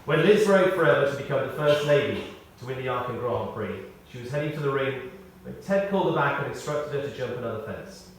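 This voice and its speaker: A man reading a prepared tribute aloud in short, measured phrases, with wry storytelling. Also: mid-range voice, expressive. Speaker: tribute speaker. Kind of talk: read-out memorial tribute with anecdotes. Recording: echoey hall, distant, faint noise.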